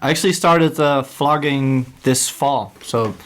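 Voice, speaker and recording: A man's voice: medium pitch, unhurried, slight background noise. A Dutch man, a skate shop owner and guest, chatting casually in English with hesitant, self-deprecating talk. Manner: hesitant, many fillers and restarts, self-deprecating. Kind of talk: casual podcast chat.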